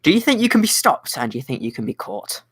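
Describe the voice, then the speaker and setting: A man co-commentating and interviewing in a relaxed, wry way. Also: on the high side, quick pace, breathy edge, no echo, clean studio sound. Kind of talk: casual commentary chat.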